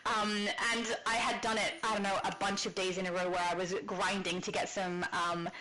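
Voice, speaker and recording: A woman co-hosting a casual livestream and reading chat comments aloud, chatty with frequent giggles and a high soprano voice. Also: flat delivery, normal speed, sharp tone, a little hiss or hum, poor audio. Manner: giggly, chatty, laughs often. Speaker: stream co-host.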